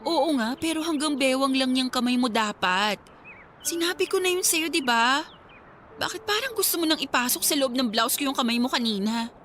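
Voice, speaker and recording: A woman's voice: high voice, expressive, normal speed, fairly bright tone. An actress playing a devoted, anxious young Filipina student girlfriend in a radio drama, pleading and affectionate. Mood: uneasy, protesting. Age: young adult. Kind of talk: Tagalog radio drama acting.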